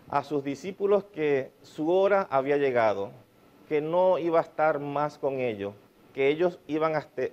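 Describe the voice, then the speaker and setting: A man in his forties preaching a sermon while seated at a desk, measured and emphatic, repeating key phrases. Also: mid-range voice, darkish tone. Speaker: pastor. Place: small church stage with painted mural, indoors. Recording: slightly dull lo-fi sound.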